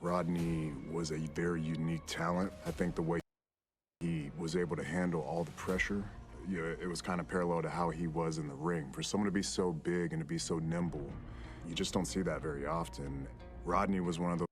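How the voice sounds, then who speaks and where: A man's voice: deep voice, steady tone, a little fast, soft background music. A man speaking casually and reflectively as an interviewee, remembering someone he admired.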